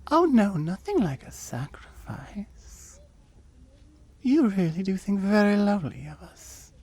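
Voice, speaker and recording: A woman voicing a seductive, smug oni demon in an intimate ASMR roleplay, breathy and often whispering as she slowly coaxes and teases. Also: medium pitch, very animated, a little mellow, dry recording, full character voice. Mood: seductive, persuasive. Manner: slow, coaxing, teasing.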